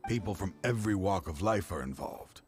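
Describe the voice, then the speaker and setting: A worn-down former partner turned foe, speaking slowly and heavily in a very deep, low register. His somber game-cutscene lines carry grief beneath a steady, measured calm. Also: soft background music. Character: grieving older male ex-partner turned foe.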